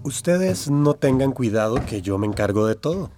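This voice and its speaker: An actor playing a pious, reassuring, deceitful pastor in a radio drama. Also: male voice, mid-range voice, expressive, normal speed, music in the background, close to an everyday voice. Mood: reassuring, persuasive. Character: smooth-talking, pious pastor who deceives families.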